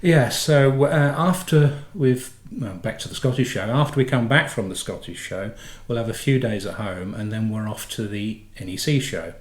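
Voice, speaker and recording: A man's voice: fairly low pitch, normal speed, few pauses. A middle-aged man with a head cold and a slightly nasal voice, chatting casually and correcting himself as he hosts a motorhome channel. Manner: chatty, rambling, self-correcting. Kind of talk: casual chat. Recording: echoey room.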